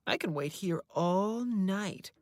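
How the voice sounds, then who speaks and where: A woman doing a controlling male closet creature, sweet-talking and threatening by turns, pitched above her own voice and pushed louder. The voice is dry and close, with no room around it. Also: animated, slow, no echo. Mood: coaxing. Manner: coaxing then threatening, controlling.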